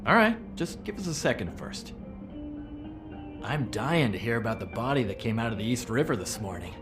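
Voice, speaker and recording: A cocky male troublemaker in a video game. He needles people sarcastically, stretching words in a lively, swinging mid-range voice over soft music. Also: mid-range voice. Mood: mocking, smug. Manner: sarcastic, goading, drawn-out emphasis.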